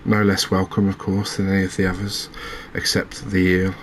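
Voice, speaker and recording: A man giving soft-spoken, unhurried ASMR commentary as he plays a game, musing aloud to his viewers. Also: low voice, completely flat delivery, slight background noise. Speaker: game streamer, host.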